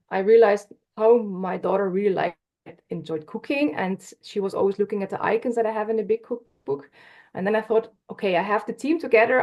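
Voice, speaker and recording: A Dutch-native woman in conversation, speaking English at a medium pitch. She talks in a friendly, easygoing way with frequent light laughs and quick restarts. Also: darkish tone. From Netherlands. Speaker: podcast guest, author. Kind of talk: casual interview talk.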